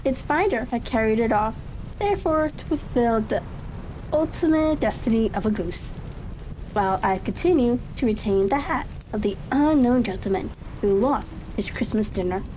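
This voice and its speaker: Female ASMR story reader, warm and relaxed tone, unhurried halting delivery, light crackling ambience underneath. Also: a little high. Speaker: audiobook reader. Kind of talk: calm ASMR story reading. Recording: steady rain-like crackling ambience behind voice. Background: fireplace crackle and ambient noise.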